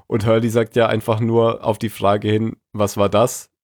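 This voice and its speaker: A man with a cold talks through a TV-series recap in a loose, chatty way, with a lowish, gravelly voice. He chuckles often and stops on fillers. The sound is close and dry, with no room echo. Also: flat delivery, unhurried, a little mellow. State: has a cold. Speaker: podcast co-host. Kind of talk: casual TV-series recap chat.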